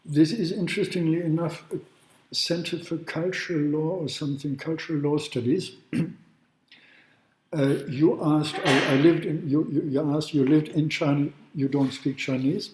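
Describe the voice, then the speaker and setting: A man from Germany, a law professor giving a university lecture in a halting way, with restarts and throat clearing. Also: fairly high pitch, steady tone, a little mellow. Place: large classroom. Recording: echoey room.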